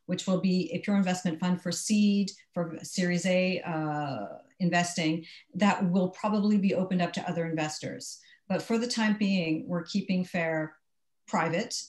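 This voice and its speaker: A woman speaking as a panelist, explaining in a measured way. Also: lowish voice, a little mellow, roomy sound. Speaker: panelist, nonprofit director. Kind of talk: panel discussion.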